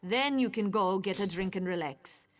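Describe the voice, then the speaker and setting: An actress playing an easygoing but dismissive town guard in a fantasy video game, brisk and dryly sarcastic. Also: female voice, medium pitch, soft background music, natural voice. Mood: dismissive, wry.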